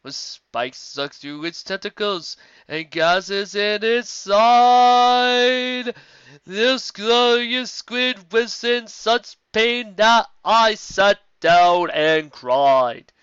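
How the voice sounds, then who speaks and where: A man voicing a solemn female zebra who tells a tale in slow sung rhyme. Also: high voice, fairly bright tone, clear, resonant tone, no room sound, pitched above the performer's own voice, heavily acted. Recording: dull lo-fi audio.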